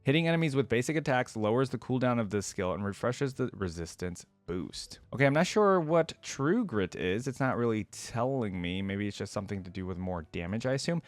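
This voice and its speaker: Low-voiced man reacting casually, dry close sound. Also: lively intonation, brisk, few pauses, dry recording, faint music behind. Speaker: reaction video host. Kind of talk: casual reaction commentary, reading game text aloud.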